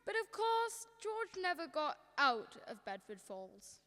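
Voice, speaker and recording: A woman's voice: high-pitched, big pitch swings, slow, pushed and bright, full character voice. A young woman playing a patient, authoritative senior angel telling a story in a student radio drama. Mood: storytelling. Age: young adult.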